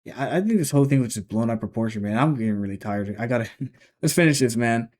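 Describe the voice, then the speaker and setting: A man's voice: mid-range voice, animated, fast, a little mellow. A man in his twenties gives casual reaction commentary, thinking aloud and restarting his sentences. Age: 20s. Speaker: reaction video host.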